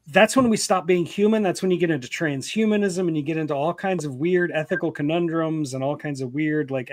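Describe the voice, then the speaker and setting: A man with a slightly raised pitch talks through his worries in a loose, earnest way, peppering his thoughts with fillers. His voice is close and dry, with no room sound around it. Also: no echo. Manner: earnest, thinks aloud with many "like" fillers. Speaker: author and stream regular. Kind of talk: casual group chat.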